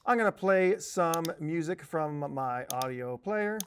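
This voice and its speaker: A man giving a conversational software tutorial as an instructor, explaining carefully with cautionary advice and stressed key words. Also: on the high side, expressive, unhurried, a little hiss or hum. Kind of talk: conversational tutorial narration over a software demo.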